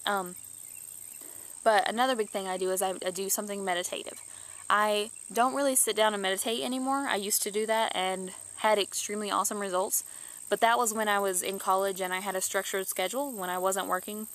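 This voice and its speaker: A woman's voice: medium pitch, fairly even intonation, fairly quick, a bit bright. A young woman in her twenties with a stuffed-up nose from allergies, chatting casually to camera in a rambling way, full of restarts and fillers. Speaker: YouTuber.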